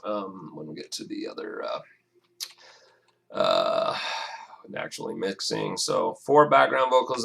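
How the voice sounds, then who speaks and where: A man with a raspy voice casually walking through his song mix, talking in halting bursts with lots of fillers and restarts. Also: fairly low pitch, fairly bright tone. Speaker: music producer. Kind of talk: casual screen-recorded mixing walkthrough.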